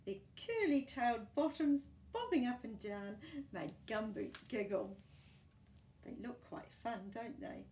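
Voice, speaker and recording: A woman reading a picture book aloud to young children in an animated, encouraging way, with chatty asides to the listener. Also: a little high, unhurried, reverberant.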